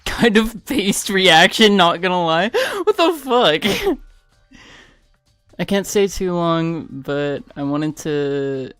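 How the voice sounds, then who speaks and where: A woman's voice: lowish voice, sing-song, slow, a bit bright, dry recording. A young woman livestreaming a game, chatting casually with viewers; she is congested with a sore throat, laughs often and swears freely. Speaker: streamer. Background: faint game music.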